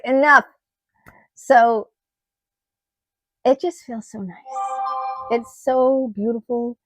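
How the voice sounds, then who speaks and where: Brief: middle-aged American woman, vlogger, gravelly tired voice, halting delivery, dry close sound. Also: a little high, no echo, rough recording. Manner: halting, with many ums and restarts. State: losing her voice. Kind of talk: personal vlog monologue.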